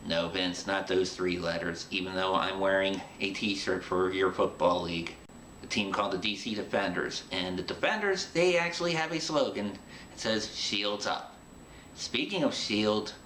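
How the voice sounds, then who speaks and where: A man's voice: low voice, normal speed, a bit bright. A man hosting an interview show, talking in a chatty, informal way. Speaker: host. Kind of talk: podcast interview and show introduction. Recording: roomy echo with clear background noise.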